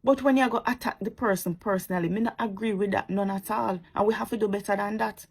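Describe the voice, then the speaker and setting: A Jamaican woman lectures her followers with fervor, circling back to the same points again and again and slipping into sarcasm. Her voice is husky and slightly breathy, sitting at an ordinary middle pitch. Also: fairly even intonation, quick pace. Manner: preachy, repetitive and sarcastic. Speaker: social media commentator. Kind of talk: impassioned commentary to viewers.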